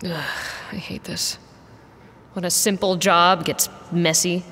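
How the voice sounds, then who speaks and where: A woman's voice: on the low side, fairly even intonation, slow, a bit bright, long reverb tail, slight background noise. A woman playing a tough, level-headed mercenary in an audio drama, blunt and dry but fair. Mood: frustrated, candid.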